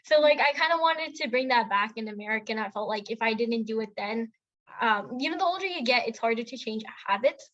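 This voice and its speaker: A woman's voice: on the high side, animated, fairly quick, fairly bright tone, dull audio. A young woman from India telling a personal story in a casual chat, with lots of filler words and restarts. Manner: chatty storytelling with many fillers and self-corrections. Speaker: podcast co-host.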